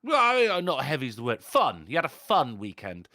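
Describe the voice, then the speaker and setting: A man's voice: medium pitch, huge pitch swings, brisk, bright, forceful tone, no echo. A man co-hosting a casual livestream chat, joking around and reading out chat comments. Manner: chatty, jokey, reads chat comments aloud. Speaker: podcast co-host.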